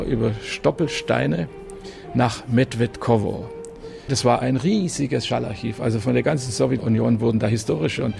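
A man's voice: medium pitch, gravelly. A man, a music journalist, telling his story in an interview in an enthusiastic, emphatic way. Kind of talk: anecdotal storytelling.

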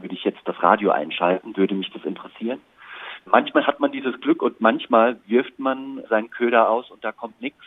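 A man's voice: lowish voice, slightly rough. A man giving considered, articulate explanations as an interviewed author and composer, speaking reflectively. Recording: muffled, narrow phone-like line.

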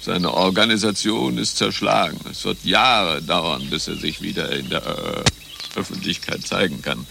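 A man's voice: deep voice, a little slow, vocal fry, noisy background, exaggerated voice. An actor playing a pompous male spy chief in a satirical radio play, stumbling and stammering over his words. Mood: solemn, warning. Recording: music under the voice.

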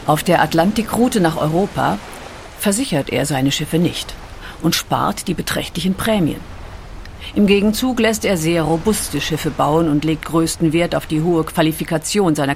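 A woman's voice: low voice, husky. A woman delivering scripted narration as a documentary narrator.

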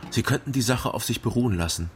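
An actor playing a dry, terse East Berlin private detective in a naturalistic radio crime drama. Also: male voice, medium pitch, fast, slight background noise, close to an everyday voice. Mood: calm, instructing.

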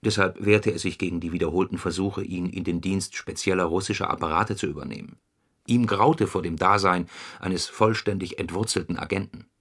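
A man's voice: low-pitched, fairly even intonation, fairly quick, a bit bright, slightly rough, full character voice. An actor playing a sober, analytical political functionary reciting his memoir in a radio play. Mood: sober, reflective. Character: sober communist functionary recollecting a comrade in secret work.

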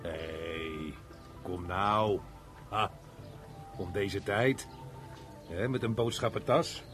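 A man's voice: fairly low pitch, extremely slow, music in the background. A man acting in a Dutch radio play as a jovial, wry, middle-aged unemployed chatterbox who jokes with his neighbour. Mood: chatty, wry. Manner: chatty, joking, chuckling, wry.

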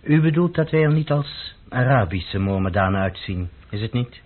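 An actor playing a dignified, serious lawyer of about thirty in a radio play, speaking in a measured, courteous, explaining way. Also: male voice, medium pitch, animated, lightly acted. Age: 30s. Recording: dull, muffled old radio recording.

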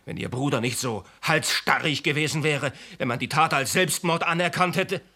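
Self-righteous confessed killer, bright forceful voice. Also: male voice, a little high. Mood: defiant. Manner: deliberate, formal, unrepentant. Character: self-righteous male insurance agent coolly confessing to murder. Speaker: radio drama actor. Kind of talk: German radio play acting.

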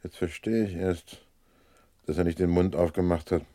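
Low-voiced sardonic police inspector played by a man. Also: steady tone, normal speed, dark tone, dry recording, clean studio sound, lightly acted. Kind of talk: German radio crime drama acting.

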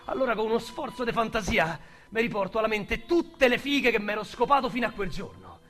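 A crude Roman ex-con. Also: male voice, sits high, very animated, a little fast, fairly bright tone, soft background music. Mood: rambling. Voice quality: slangy, rambling, vulgar storyteller. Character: crude talkative male ex-convict recalling prison. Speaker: radio drama actor. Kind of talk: radio drama monologue.

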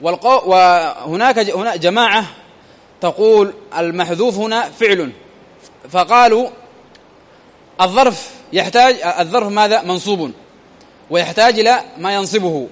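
A man's voice: high voice, normal speed, long pauses, big-room sound. A man teaching a grammar lesson, repeating phrases and quizzing his listeners with rhetorical questions.

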